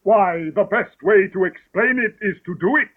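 A man voicing a solemn, long-winded male dodo with a slow, grand delivery. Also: high voice, breathy edge, pitched above the performer's own voice. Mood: grandiose. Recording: tinny phone-like audio.

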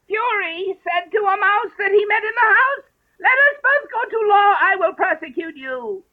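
A woman voicing a severe, easily offended storybook mouse in a sharp, declamatory manner. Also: very high-pitched, expressive, normal speed. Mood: theatrical, menacing. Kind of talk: dramatised storybook reading. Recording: tinny, narrow-band radio-like sound.

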